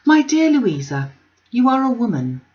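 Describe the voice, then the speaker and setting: A woman's voice: fairly high pitch, sing-song, moderate pace, darkish tone, pitched above the performer's own voice. A grave middle-aged father from a classic novel, voiced by a woman, addressing his child formally. He is earnest and proper, heard on a slightly dull recording. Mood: serious, kindly.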